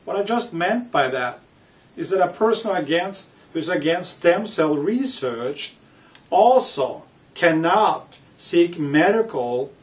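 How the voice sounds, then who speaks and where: Higher-voiced man reading aloud, distant mic. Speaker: author reading own book aloud with commentary. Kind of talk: reading aloud with spoken commentary. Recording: distant microphone.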